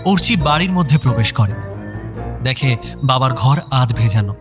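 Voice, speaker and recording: A man's voice: medium pitch, animated, moderate pace. A male narrator reads a scripted dramatic story. His voice sits in a middle register, and faint music plays underneath.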